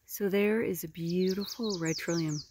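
A middle-aged woman walking through spring woods, filming on a phone held at arm's length, gently explaining how she forages. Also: on the low side, a little hiss or hum. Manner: gentle, admiring, unhurried explaining. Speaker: forager showing her land. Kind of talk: casual outdoor how-to narration. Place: spring woodland outdoors by a river. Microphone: phone camera held at arm's length.

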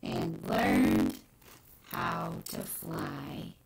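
Middle-aged woman, children's read-aloud, unhurried pace, mid pitch, home webcam sound with noise and clicks. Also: wildly swooping pitch, gravelly. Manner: deliberate, with pauses between short phrases. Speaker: teacher reading a picture book aloud. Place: indoor room in a home.

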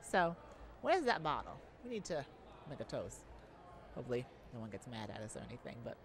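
A woman's voice: a bass voice, big pitch swings, fairly quick, exaggerated voice. A woman voicing an affectionate, modest young male bar singer in an intimate ASMR roleplay, sweet-talking and hesitant. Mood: bashful, excited.

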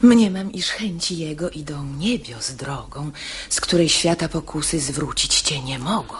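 An actress playing a poised, shrewd married lady fending off a suitor, with husky, breathy voice and mannered verse delivery in a radio drama. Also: low-pitched, a little slow. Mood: probing. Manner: mannered, expressive declamation.

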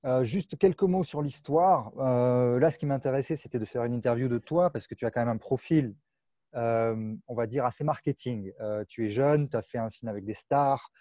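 A French man hosts a festival interview over a video call, his voice mellow and dry. He asks long, searching questions through an earphone mic from a room at home. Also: mid-range voice, moderate pace, no echo. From France.

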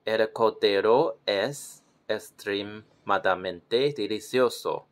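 A man from Taiwan, practicing pronunciation slowly and repeating words as he corrects himself. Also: on the low side. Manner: slow, repeats words, self-correcting with soft laughs. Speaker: language learner. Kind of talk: self-recorded pronunciation practice.

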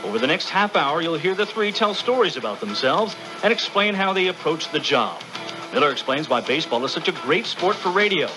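Lively high-voiced male radio narrator. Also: a little fast, music in the background, poor audio. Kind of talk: scripted narration.

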